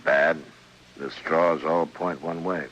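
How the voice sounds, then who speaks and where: An actor playing a stern, weary frontier marshal in an old radio western, grave and plain-spoken. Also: male voice, rumbling low, fairly even intonation, slow pace, fairly bright tone, muffled recording, full character voice. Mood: wry, firm. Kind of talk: old-time radio western drama acting. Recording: noisy old radio drama recording.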